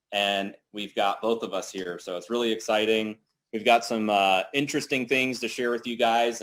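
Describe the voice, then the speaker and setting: A man in his thirties hosts a casual company call with a lowish voice. He talks in an upbeat, loose way, leaning on fillers like "you know" and "like". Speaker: company co-founder hosting a team call.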